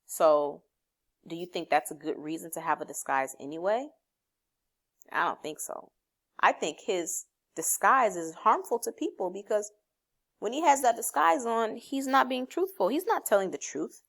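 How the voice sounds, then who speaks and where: A woman's voice: medium pitch, animated, normal speed, fairly bright tone. A woman teaching a read-aloud lesson to children, explaining simply and encouragingly, posing questions and then answering them herself. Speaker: elementary reading teacher.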